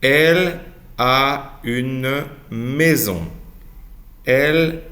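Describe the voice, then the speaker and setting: A male teacher drilling short phrases at a very slow, deliberate pace. He says each model sentence carefully and repeats it, then stops to correct pronunciation. His pitch sits at an ordinary middle level. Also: sharp tone. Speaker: French teacher.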